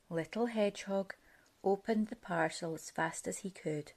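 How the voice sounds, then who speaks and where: Slow-paced woman reading a storybook. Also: lowish voice. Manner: deliberate, expressive storytelling. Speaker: parent reading a picture book aloud. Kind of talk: storybook read-aloud for children.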